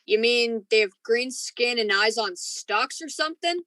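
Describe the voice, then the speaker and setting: An edgy, dutiful spaceship lieutenant played by a woman in a radio play. The voice is fairly high and bright, questioning and uneasy, with a dry sound. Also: lively intonation, unhurried, no room sound. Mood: edgy, impatient. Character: dutiful, edgy young ship's lieutenant, uneasy before danger. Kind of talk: radio drama acting.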